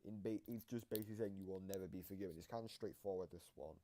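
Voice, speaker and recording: A man with a low, deep voice gives informal explanatory commentary. He restates his points for emphasis. Faint background noise and clicks sit under the recording. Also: racing, dark tone. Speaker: video commentator explaining game voice lines.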